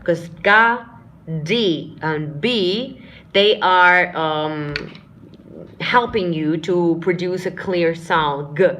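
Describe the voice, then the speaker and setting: A woman with a lowish speaking voice teaches singing on a live stream. She explains technique step by step, breaking into demonstration syllables and short sung lines, and calls her viewers friends. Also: drawn-out words. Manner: addresses viewers warmly as friends and dears. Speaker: vocal coach. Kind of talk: live-streamed singing lesson answering chat questions.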